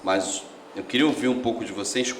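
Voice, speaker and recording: Um homem apresentador de podcast, fazendo perguntas de acompanhamento de forma tranquila numa entrevista. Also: male voice, on the low side, brisk, echoey room, noisy background. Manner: relaxed, asks follow-up questions. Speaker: podcast host. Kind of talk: interviewing.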